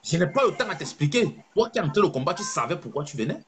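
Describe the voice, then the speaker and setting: A man giving an impassioned political speech as an activist, fervent and preaching, stressing slow phrases. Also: on the high side, big pitch swings.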